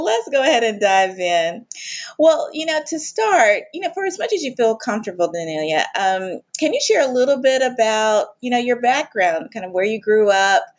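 A woman hosting a podcast, reading a scripted intro and then interviewing with enthusiasm. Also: a little high. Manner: upbeat and enthusiastic. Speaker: podcast host.

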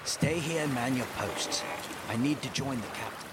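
A man's voice: medium pitch, moderate pace, very noisy, close to an everyday voice. A man playing a loyal, dutiful first officer on a sailing ship in an audio drama, formal and deferential in manner. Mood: earnest, persuasive. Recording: loud ship and sea ambience behind.

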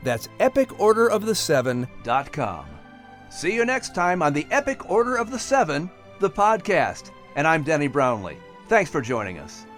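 Male narrator-announcer: lively, higher-set voice, storytelling delivery, music underneath. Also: moderate pace. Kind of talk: audiobook narration and podcast announcing.

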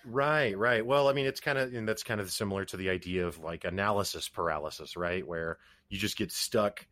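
Deep-voiced male interview host. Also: a little fast, no echo. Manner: relaxed, stammering restarts, friendly asides. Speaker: podcast host. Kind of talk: casual interview conversation.

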